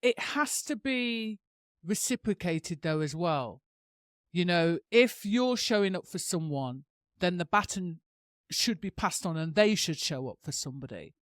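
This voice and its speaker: A woman's voice: lowish voice, lively intonation, a little slow, no room sound, clean studio sound. A woman hosting a boxing podcast, asking direct, conversational questions. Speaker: podcast host. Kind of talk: interview host.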